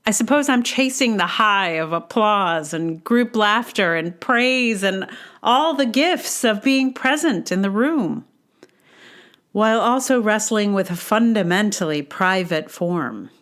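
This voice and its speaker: A woman hosting a podcast, reading her own personal essay aloud in a measured, deliberate way with casual asides. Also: mid-range voice, expressive, fairly bright tone. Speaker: podcast host and writer.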